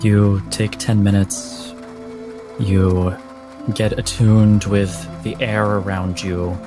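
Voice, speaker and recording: A man narrating a tabletop game as game master in a measured, deliberate, dramatic style. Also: fairly low pitch, level tone, over music.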